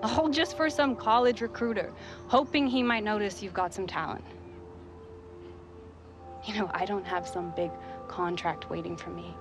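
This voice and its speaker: A woman's voice: mid-range voice, natural voice. An actress playing a determined, blunt teenage girl footballer and younger sister in a video game drama. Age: young adult. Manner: blunt, challenging, impassioned. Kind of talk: dramatic game cutscene dialogue. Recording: clear music behind the voice.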